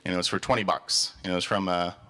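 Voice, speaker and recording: A young man from Canada speaks earnestly to a live audience, telling stories and offering advice. His voice sits on the low side. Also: fairly even intonation, fast talker, bright, forceful tone. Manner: earnest, storytelling. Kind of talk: motivational talk with audience Q&A.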